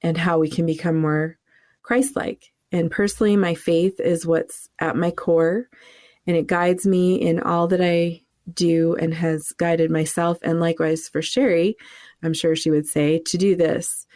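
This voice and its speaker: A woman's voice: lowish voice, level tone, unhurried, a little mellow, dry recording, studio quality. Earnest middle-aged woman podcast co-host. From New England, USA. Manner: earnest, faith-centered, laughs easily. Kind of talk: casual podcast chat.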